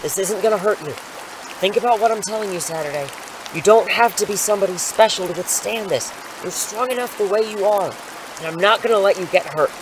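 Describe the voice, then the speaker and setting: A man's voice: high voice, brisk, background noise, lightly acted. Man playing an eager superhero mentor over rain. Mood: urgent, reassuring. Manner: eager, reassuring, rambling, sheepish when challenged. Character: impulsive, excitable adult male superhero mentor and schoolteacher. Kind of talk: audio drama acting.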